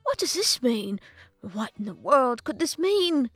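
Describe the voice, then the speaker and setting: A woman's voice: medium pitch, expressive, normal speed, a bit bright, no room sound, faint music behind. A woman voicing an old male professor, eager, inquisitive and theatrical, in a storybook narration. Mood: excited. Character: excitable, curious old male professor and researcher.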